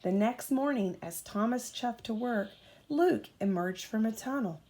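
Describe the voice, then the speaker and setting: Female storyteller for children's bedtime book, unhurried and expressive, motherly role. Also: fairly high pitch. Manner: expressive, acts out character dialogue. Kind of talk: children's storybook read aloud.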